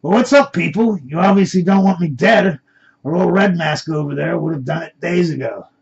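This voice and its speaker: A man reads a worn, stubborn old man held captive in a crime story, plain-spoken. His voice is husky with heavy fry, and the recording is dull. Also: medium pitch, moderate pace, darkish tone. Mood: defiant.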